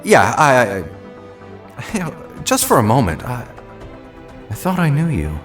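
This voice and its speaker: A dazed male civilian, played by an actor in an audio drama. He halts and stammers, slow and animated in pitch, with faint music behind. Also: full character voice. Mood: shaken, confused. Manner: halting, stammering. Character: bewildered, shaken male civilian crime victim.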